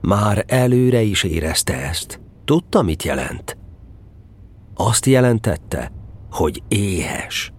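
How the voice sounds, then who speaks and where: A man narrating a children's radio play in an expressive, playful tone. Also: lowish voice, breathy edge.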